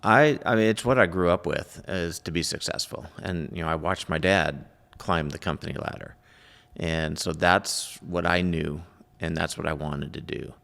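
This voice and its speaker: Very gravelly, low-voiced middle-aged man. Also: moderate pace, a bit bright, in a large hall. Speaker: entrepreneur, interview guest. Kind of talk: casual interview answers, personal recollection.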